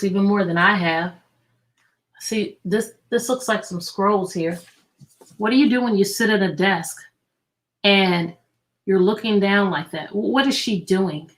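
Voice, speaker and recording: A woman's voice: medium pitch, fairly bright tone. A woman teacher hosting an interactive children's read-aloud, telling the story expressively and putting repeated questions to her listeners.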